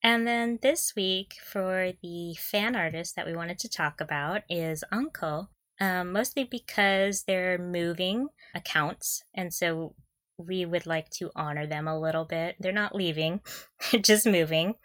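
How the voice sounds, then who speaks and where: A woman's voice: lowish voice, a little slow, fairly bright tone. A woman co-hosting a podcast in casual fan chat, gushing and giggly as she talks. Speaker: podcast co-host.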